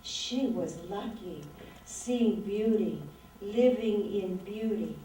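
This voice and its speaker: A woman in her sixties, an author reading her poetry aloud and talking about it in a slow, measured, reflective way. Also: medium pitch, dark tone, a little hiss or hum. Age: 60s. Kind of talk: poetry reading with talk between poems. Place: lecture room at a literary event. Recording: very echoey room, voice distant from mic.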